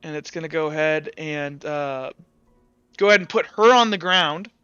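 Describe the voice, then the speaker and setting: A man improvising narration as a tabletop game master, halting and casual, swearing freely as he goes. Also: a little high, sharp tone, smooth, no room sound, dull audio, soft background music.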